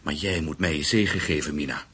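A man's voice: very deep voice, brisk, sharp tone, breathy edge, exaggerated voice. An actor in a Dutch radio play voicing an earnest, courtly, ambitious businessman with political aims. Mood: persuasive, solemn.

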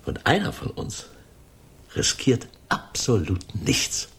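An actor playing a self-assured, calculating gangster in a radio crime drama, condescending and quietly threatening. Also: male voice, medium pitch, wildly swooping pitch, slow pace, vocal fry, slightly rough, heavily acted. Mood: menacing. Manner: smug, controlled, threatening.

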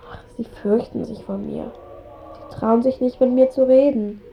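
A woman plays a ten-year-old boy in a radio drama, stubborn and easily wounded. The voice is fairly high, the delivery blunt and earnest. The recording is a little muffled, with faint noise. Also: steady tone, moderate pace, vocal fry. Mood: hurt, defiant.

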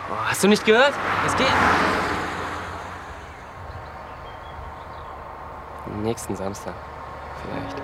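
A man's voice: medium pitch, huge pitch swings, fairly quick, very noisy. A man playing a brusque, domineering teenage boy in a radio drama, curt and dismissive. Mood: dismissive, taunting.

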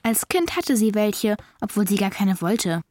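A woman's voice: mid-range voice, very animated, unhurried, darkish tone. A woman playing a stubborn, indignant young girl in a children's radio play, speaking in a sulky, curt way. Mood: explaining.

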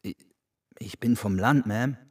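A humble young country man, down on his luck, in a radio play. He speaks low, deferentially and haltingly. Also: slightly rough. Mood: meek. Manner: hesitant, deferential. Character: meek, hungry young country drifter seeking work. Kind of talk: radio drama acting.

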